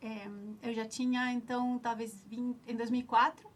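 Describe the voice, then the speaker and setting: A woman's voice: a little high, flat delivery, normal speed, a little hiss or hum. A woman in her forties from Ecuador, a native Spanish speaker with an Ecuadorian Spanish accent, reflectively recalling her story as an interview guest and often restarting as she searches for words. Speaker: nutritionist, alumna guest. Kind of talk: reminiscing interview answers.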